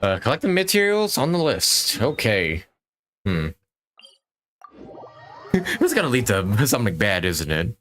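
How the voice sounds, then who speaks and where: A man's voice: medium pitch, wildly swooping pitch, unhurried, long pauses, fairly bright tone, slight background noise. A man casually commentating on his live gameplay in a jokey, sweary way. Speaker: game streamer.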